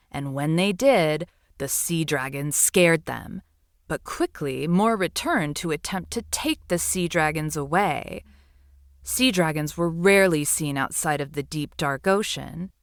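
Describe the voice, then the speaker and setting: Slow, expressive woman reading bedtime stories. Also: sits low, no echo, clean studio sound. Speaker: storyteller. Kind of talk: bedtime story narration for children.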